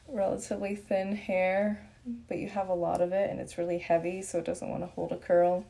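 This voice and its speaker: A young woman from Japan talking casually on a live stream. Her voice has a noticeable vocal fry. She chats easily and answers viewers as she goes. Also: mid-range voice. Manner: chatty and relaxed, with frequent fillers and laughs. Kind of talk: casual live Q&A chat, reading comments.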